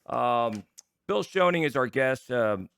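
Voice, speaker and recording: A man's voice: mid-range voice, expressive, slow pace, gravelly, fairly bright tone, smooth, no room sound. A man hosting a sports talk show in casual interview chat, chatty and often stumbling over and repeating his words.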